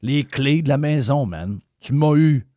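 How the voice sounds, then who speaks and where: A man's voice: mid-range voice, lively intonation, unhurried, mellow, no echo, clean studio sound. A man with a Québécois French accent hosting a radio talk show, ranting bluntly and swearing freely. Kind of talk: opinionated radio rant.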